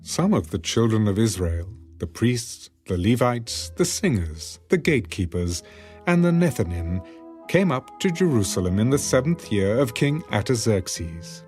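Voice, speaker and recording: Low, expressive male scripture narrator. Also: faint music behind. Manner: solemn, deliberate phrasing. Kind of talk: dramatised audiobook scripture narration.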